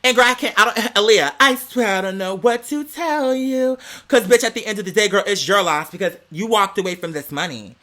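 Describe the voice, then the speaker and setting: Bright-voiced, sassy, animated storyteller. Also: mid-range voice, fairly quick, few pauses. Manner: sassy, slangy, emphatic, peppering talk with "girl"; theatrical, acts out quoted dialogue. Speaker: vlog host telling a personal story. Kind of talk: animated gossipy storytelling vlog.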